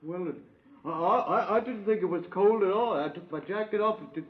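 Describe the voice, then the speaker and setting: A man acts out a guilty lorry driver in a 1950s radio play. His high voice is nervous and evasive, tripping and repeating words defensively. The recording is dull, old and lo-fi. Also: normal speed, lightly acted. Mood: cornered, stammering.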